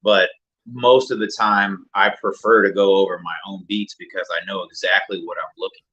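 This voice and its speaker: A man, a music producer and rapper, talking casually and reflectively as an interview guest in a deeper mid-range voice. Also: normal speed, poor audio. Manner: relaxed, reflective, many fillers.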